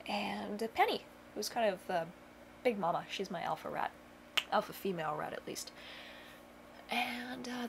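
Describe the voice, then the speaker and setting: A woman's voice: fairly low pitch, vocal fry, background noise. A young woman chatting casually to camera at home, rambling with lots of fillers and dry self-mocking humor. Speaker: vlogger. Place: home bedroom.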